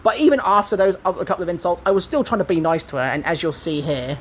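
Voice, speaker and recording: A man in his twenties with an English accent and a high, squeaky voice, rambling through an aggrieved retelling of an online argument. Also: quick pace, a little hiss or hum. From England. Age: 20s. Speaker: gaming vlogger. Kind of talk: casual commentary.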